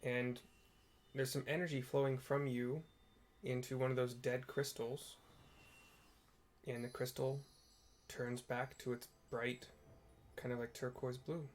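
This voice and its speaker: A man giving casual, halting descriptive commentary as he plays through a game. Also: mid-range voice, flat delivery, slight background noise. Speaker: game streamer narrating a playthrough.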